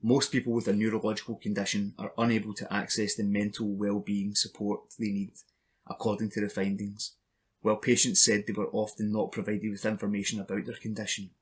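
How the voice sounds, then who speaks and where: A man's voice: fairly low pitch, level tone, brisk, slightly rough. A man reading scripted news reports as a volunteer for an audio newspaper. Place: at home.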